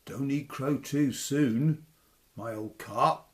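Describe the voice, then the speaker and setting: A man's voice: mid-range voice, takes it slowly, close to an everyday voice. A man doing cheeky young Cornish wrestler Tom. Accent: Cornish dialect. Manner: cheeky, taunting.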